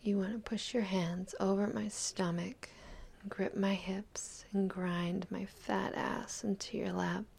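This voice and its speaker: Young woman, intimate roleplay, slow husky whisper with a gravelly edge. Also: medium pitch, steady tone. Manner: mostly whispering, soft and teasing. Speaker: audio roleplay performer.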